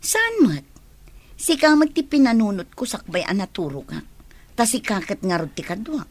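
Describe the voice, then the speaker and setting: A woman playing an uneasy, defensive grocery seller in an audio drama, conversational and expressive. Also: medium pitch, moderate pace.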